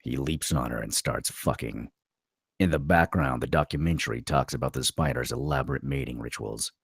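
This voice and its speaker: A man narrating a screenplay at a table read, with a gravelly, husky voice. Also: a bass voice, normal speed, dry recording, clean studio sound.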